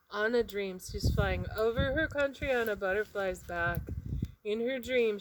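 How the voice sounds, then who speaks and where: A woman narrating a children's picture book expressively, with dramatic pauses and emphasis on words. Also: medium pitch, a little hiss or hum, low-quality recording. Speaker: read-aloud storyteller.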